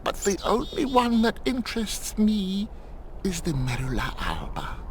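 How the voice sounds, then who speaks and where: An actor playing a simple, nervous monk who loves birds in a video game, excitable and halting, with wheezy giggles. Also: male voice, high-pitched, a little hiss or hum, heavily acted. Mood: giddy, friendly.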